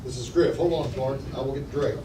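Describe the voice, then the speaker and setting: A man's voice: mid-range voice, fairly even intonation, fairly quick, crowd noise, close to an everyday voice. A man reading the part of an anxious male spy posing as an aide, plainly and with stumbles. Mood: dutiful. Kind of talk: table read of a sci-fi script. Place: restaurant dining room table. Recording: distant, echoey, noisy restaurant.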